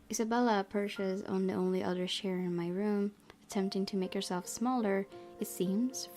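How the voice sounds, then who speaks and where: A woman narrates a visual novel aloud as a gaming host. Her voice sits at a medium pitch, and game music plays clearly behind it. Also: darkish tone.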